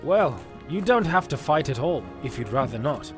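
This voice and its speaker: A man voicing a thoughtful male knight leader who speaks in a measured, reassuring way. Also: fairly high pitch, big pitch swings, over music, voice pushed up.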